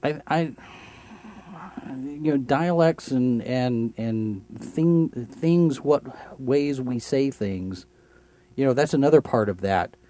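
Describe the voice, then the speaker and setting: A man's voice: mid-range voice, slow, warm tone. A young man from Texas with no regional accent, chatting casually and rambling with many restarts. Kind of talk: casual conversation.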